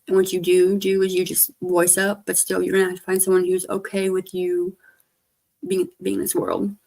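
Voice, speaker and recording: Woman streamer, hoarse and gravelly, loose rambling chat, soft trailing delivery. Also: on the low side, monotone, normal speed. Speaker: livestreamer. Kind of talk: casual livestream chat.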